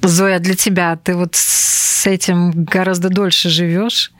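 A woman's voice: on the low side, little pitch movement, a little slow. A woman hosting a conversational interview, speaking in reflective, thoughtful phrases. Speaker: host.

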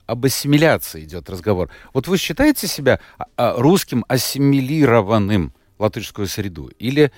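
A man's voice: mid-range voice, very animated, brisk, darkish tone, no room sound, studio quality. A male radio host interviewing a guest, reading out a listener's message and pressing with follow-up questions. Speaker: radio talk show host.

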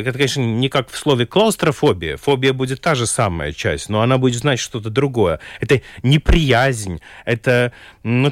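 A man's voice: mid-range voice, no room sound, studio quality. Man speaking Russian. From Latvia. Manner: halting, thoughtful, with chuckles and restarts. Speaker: orientalist guest. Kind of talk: radio talk show discussion.

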